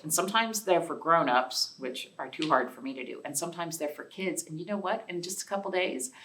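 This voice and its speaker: A woman hosting a children's storytime, reading aloud and chatting simply to young listeners, with questions and asides. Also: fairly low pitch. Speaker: library storytime host. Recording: distant, off-mic voice.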